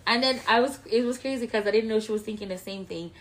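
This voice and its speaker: A woman's voice: fairly high pitch, fast, rough recording. A woman, co-hosting a podcast in casual chat and telling stories playfully. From Jamaica. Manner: animated storyteller, playful. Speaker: podcast co-host.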